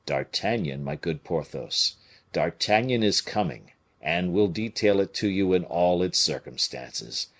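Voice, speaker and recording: A man reading an audiobook, voicing a grave, measured conspirator bishop who speaks in a solemn, placating way. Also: low voice, expressive. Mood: solemn, troubled. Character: Aramis, grave, smooth-talking conspiring bishop.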